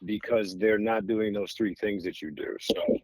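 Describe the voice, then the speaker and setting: An American man with a lowish voice, recorded close and dry. He hosts a casual interview, winding his way through long, admiring questions. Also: fairly even intonation, moderate pace, a little mellow, no room sound, low-quality recording. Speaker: podcast host interviewing guests.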